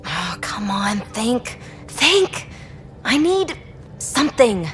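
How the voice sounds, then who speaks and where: An actress playing a restless, flippant young loner scientist in a video game, with halting, expressive delivery. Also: female voice, a little high, full character voice. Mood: frustrated, stressed. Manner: halting, expressive, breaking into breathy asides. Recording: music behind the voice.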